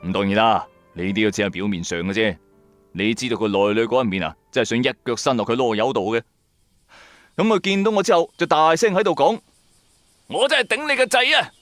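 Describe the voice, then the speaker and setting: A man narrating a radio drama in the first person, colloquial, wry and expressive. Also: lowish voice, fast, no echo, faint music behind. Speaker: storyteller, narrator.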